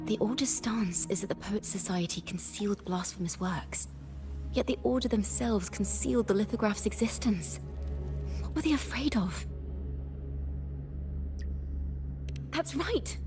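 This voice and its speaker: A high-voiced young female investigator played by a woman reasons aloud with earnest curiosity, as in a game's story scene. Music plays clearly behind her. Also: moderate pace, close to an everyday voice. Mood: earnest realization. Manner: earnest, deductive. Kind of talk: scripted video game dialogue.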